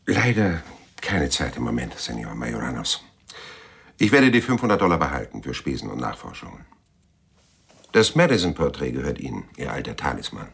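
An actor playing a world-weary, brooding private detective in a radio crime drama, gravelly and laconic. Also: male voice, a bass voice, very animated, moderate pace, fairly bright tone, heavily acted. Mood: cool, reproachful. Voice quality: gravelly, husky. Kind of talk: hard-boiled radio drama dialogue and narration.

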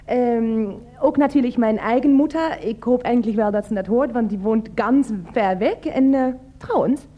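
A woman's voice: a little high, normal speed, few pauses, highs cut off, lightly acted. An actress playing a sweet, clingy, lovesick woman with a German accent in a radio drama, hesitant, cooing and pleading.

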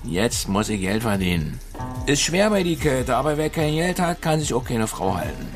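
An actor playing a big, cocky, good-natured street newspaper seller in a radio drama, blunt and bantering, with a Berlin accent. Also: male voice, mid-range voice, big pitch swings, moderate pace, music in the background.

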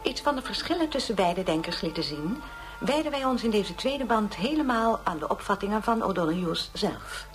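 An actress playing the didactic presenter of an educational programme in a radio drama, speaking formally. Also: female voice, medium pitch, animated, moderate pace, faint music behind, natural voice. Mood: instructive. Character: didactic female narrator of educational philosophy programme. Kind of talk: scripted lecture narration in radio drama.

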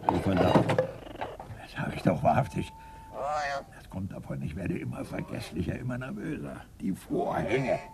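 An actor in a radio play as an agitated, forgetful eccentric who mutters to himself and swings from cooing to scolding. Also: male voice, low voice, sing-song, moderate pace, gravelly, pauses often, heavy background noise, heavily acted. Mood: irritated, scolding.

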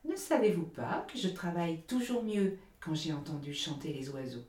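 A woman's voice: sits low, animated, moderate pace, darkish tone. A woman voicing little Hans, a humble, timid, good-natured man, in a storybook reading. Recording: roomy echo.

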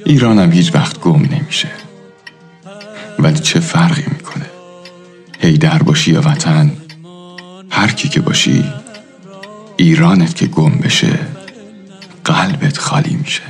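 A man playing a reflective, philosophical narrator who tells a radio drama in a measured, literary manner. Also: a bass voice. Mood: pensive. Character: reflective, philosophical storyteller of an old neighbourhood. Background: faint music.

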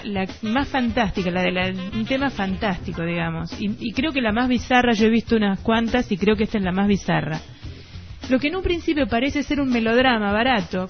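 A woman with an Argentine accent hosting a radio show, talking conversationally in a halting, explanatory way. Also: on the high side. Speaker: radio host. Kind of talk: conversational film review on radio. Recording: lo-fi radio with music behind.

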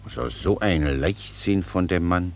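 An actor playing a naive, talkative, good-natured Czech soldier in a radio play, rambling on in a folksy, guileless way. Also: male voice, low-pitched, animated, unhurried, vocal fry, heavily acted. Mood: musing, curious. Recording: noisy radio play recording.

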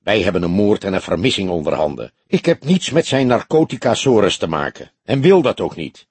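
A man's voice: on the low side, very animated, brisk, a little mellow, husky, no room sound, heavily acted. A man voicing a grizzled older police detective in an audiobook, grumbling, scornful and blunt. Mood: angry, gruff.

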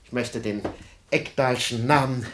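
An actor playing a self-important, excitable father with grand plans in a German radio drama, theatrical and pompous in manner. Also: mid-range voice, a little slow, roomy sound, a little hiss or hum, lightly acted. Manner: pompous, theatrical, swinging from exclaiming to confiding.

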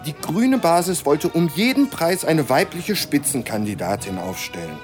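Lively political campaign aide. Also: male voice, on the high side, normal speed, soft background music. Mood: matter-of-fact. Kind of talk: satirical radio play dialogue.

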